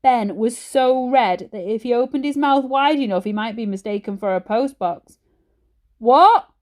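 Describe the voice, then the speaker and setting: A woman teacher reading a children's story aloud in an expressive storytelling style. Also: fairly high pitch, moderate pace.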